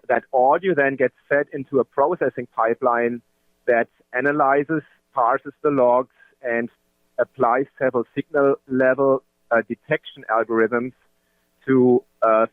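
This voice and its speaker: A man presents a business webinar over a thin, phone-like connection with very little room sound. His pitch is average for a male voice. He explains in a halting way, often inserting little interjections and asking himself questions before answering them. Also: no room sound. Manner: halting, with frequent interjected "well" and self-questions. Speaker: webinar presenter from a call analytics company. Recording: narrow-band, phone-like dry line.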